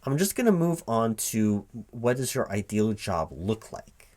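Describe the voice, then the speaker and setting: A man playing a recruiting interviewer in a one-sided spoken ASMR roleplay, halting and repeating his words in a baffled, exasperated way. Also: fairly low pitch, very animated, a little mellow, slight background noise. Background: computer keyboard typing.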